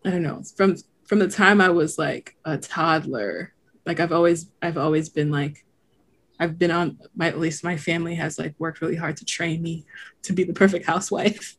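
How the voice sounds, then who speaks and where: A woman's voice: deep voice, normal speed. Young woman from haiti, no accent left, casual podcast guest, says "like" a lot, laughs easy. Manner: reflective, lots of "like" fillers, laughs often. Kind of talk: casual conversation.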